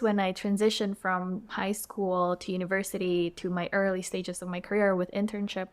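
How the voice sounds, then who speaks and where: A young woman from Indonesia, whose first language is Indonesian, speaking English as a podcast guest in a thoughtful, conversational way with frequent fillers and restarts. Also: mid-range voice. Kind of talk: reflective conversational interview.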